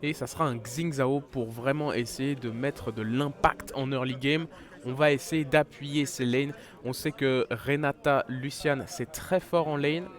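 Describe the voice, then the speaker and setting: A man with a head cold giving live esports commentary, chatty and full of fillers and restarts. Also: a little high. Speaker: esports caster.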